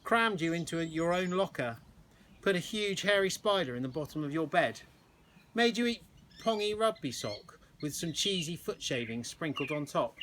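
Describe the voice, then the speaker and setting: A man with a slightly high voice narrates a children's storybook like a primary teacher reading to pupils. Birds chirp clearly behind him, making the recording noisy. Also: fairly bright tone.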